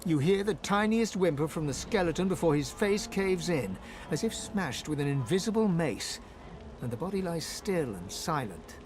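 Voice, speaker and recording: An actor narrating a dark fantasy video game in a solemn, vivid storytelling style. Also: male voice, on the high side, very animated, moderate pace, music in the background. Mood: solemn, dramatic. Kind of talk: scripted second-person game narration.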